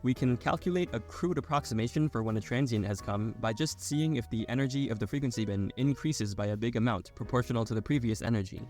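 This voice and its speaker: A man's voice: medium pitch, fast talker, few pauses, a little mellow. Man narrating a tutorial over music. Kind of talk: scripted explainer narration.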